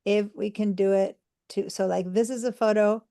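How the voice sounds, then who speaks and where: A woman's voice: medium pitch, steady tone, moderate pace, mellow. A woman from Argentina teaching an online computer class, patiently talking students through each step and checking in with them. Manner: patient, step-by-step explaining with fillers. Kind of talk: live online class demonstration.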